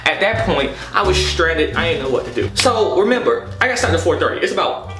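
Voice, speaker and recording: A man telling a story casually and comedically, in an exasperated, animated, slangy way with plenty of swearing. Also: fairly high pitch, fairly quick. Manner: animated, exasperated, profane, slangy with repeated "you know what I'm saying". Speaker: storytelling vlogger. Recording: echoey room with music behind.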